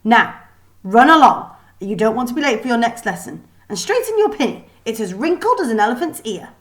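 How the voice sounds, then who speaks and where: A woman's voice: fairly high pitch, very animated, fairly quick, voice pushed up. A woman reading a storybook as a bossy headmistress, emphatic and commanding in her scolding. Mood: stern, scolding. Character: stern bossy headmistress, finger-wagging and scolding.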